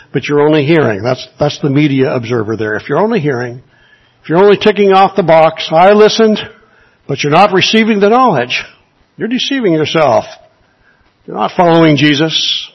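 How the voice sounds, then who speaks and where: Husky earnest preacher, muffled recording. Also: male voice, a little high. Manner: earnest, exhorting, rhetorical questions. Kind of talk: sermon.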